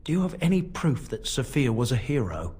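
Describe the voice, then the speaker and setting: A man's voice: mid-range voice, big pitch swings, fairly quick, a little mellow, slightly rough. An actor playing a humble young man who speaks hesitantly and with deference. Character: humble young man shamed by his family name.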